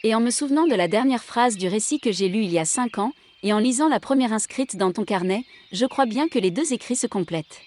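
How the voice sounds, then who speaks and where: A woman's voice: on the high side, expressive, fairly quick, few pauses, darkish tone. A woman playing an observant long-time captive and confidante in an audio drama. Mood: cheerful, curious.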